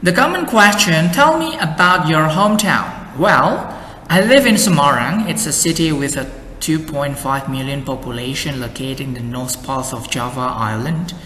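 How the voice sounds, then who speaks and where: A young adult man with an Indonesian accent, an English exam tutor explaining slowly and carefully with model answers. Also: a little high, lively intonation, bright, forceful tone, slight background noise. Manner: slow, careful explaining with many "and then" links. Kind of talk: lesson with sample answers. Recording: echoey room with faint ambience.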